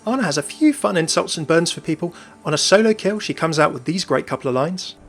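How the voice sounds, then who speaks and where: A male video narrator with a slightly higher-pitched voice and a quick pace reads scripted game lore. Soft music plays behind him. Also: lively intonation.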